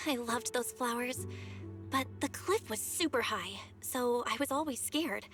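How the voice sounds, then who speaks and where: A woman playing a warm, upbeat young female companion in an anime game, gentle and expressive. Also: a little high, a little slow. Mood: gentle storytelling. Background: game music.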